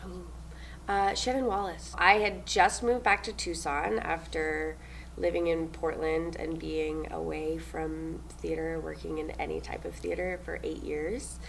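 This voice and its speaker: A young woman from New Zealand answers interview questions with a loud, upbeat delivery and frequent laughs. Her voice sits a little low and has a gravelly fry to it. Faint noise hums under the recording. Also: fairly even intonation, unhurried, poor audio. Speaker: stage manager.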